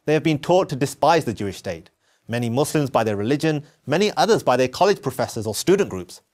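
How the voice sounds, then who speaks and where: Man, scripted personal narration, earnest and measured, mid pitch, animated intonation. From England. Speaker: narrator telling his own story.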